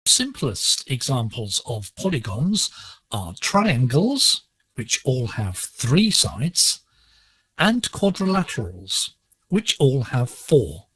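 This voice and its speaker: A man presenting a scripted radio lesson in a measured, instructive way, heard over a digital shortwave broadcast. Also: mid-range voice, wildly swooping pitch, sharp tone, breathy edge, dry recording, poor audio. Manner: measured, didactic.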